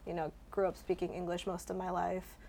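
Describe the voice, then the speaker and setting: A woman's voice: on the low side, flat delivery, fairly quick, vocal fry, mellow, a little hiss or hum. A young woman from California who grew up with Korean as her first language. She talks in an easygoing, slightly hesitant way. Her sentences are loose and full of small fillers. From California, United States. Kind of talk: casual conversation.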